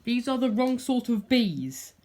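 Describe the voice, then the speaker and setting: A woman voicing an earnest, anxious, silly little toy bear from a children's storybook, speaking in solemn, deliberate pronouncements. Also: a little high, big pitch swings, mellow. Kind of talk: children's storybook character reading.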